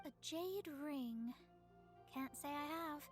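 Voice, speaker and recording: A woman's voice: high voice, takes it slowly, soft background music. A woman playing a proud young astrologist in a video game, with a haughty, formal, lofty way of speaking. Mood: indignant, principled.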